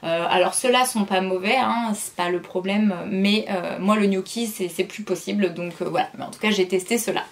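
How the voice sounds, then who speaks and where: A woman's voice: mid-range voice, moderate pace, hardly any pauses. A woman in her thirties chatting casually through a product review, full of hesitations and restarts. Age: 30s. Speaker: vlogger.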